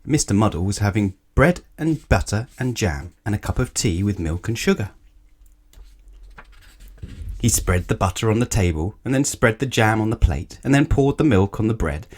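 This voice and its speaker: Male storyteller for kids' picture books: lowish voice, very lively intonation, talks straight to the child. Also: a little fast, hardly any pauses. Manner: expressive, addresses young listeners directly. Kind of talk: children's picture book read-aloud.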